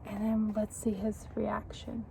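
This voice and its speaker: A woman reading a children's picture book aloud in an expressive, encouraging, teacherly way, pausing to put questions to young listeners. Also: mid-range voice, gravelly, slight background noise, poor audio.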